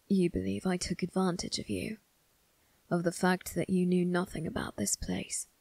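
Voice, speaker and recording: A woman performs a young male vampire crime boss for an ASMR roleplay, low and gravelly. He speaks slowly in a husky half-whisper, cold and possessive. His manner is measured, mocking and quietly menacing. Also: dry recording, full character voice. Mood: quiet threat. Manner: slow, measured, condescending. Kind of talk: ASMR character roleplay addressed to the listener.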